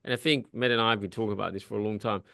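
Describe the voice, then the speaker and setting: A Dutch-accented man gives a webinar talk in a fairly low voice. His speech comes across as direct and earnest, with many restarts. The recording is dry and close, with no room sound. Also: steady tone, rapid-fire, creaky, a bit bright, no room sound. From Netherlands. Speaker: co-presenter. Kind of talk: webinar presentation.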